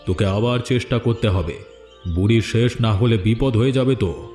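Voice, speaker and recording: A man's voice: medium pitch, moderate pace, dark tone, lightly acted. An actor playing a firm, insistent village man in a dramatic fairy-tale cartoon. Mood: stern, scheming. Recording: echoey studio dub with background music.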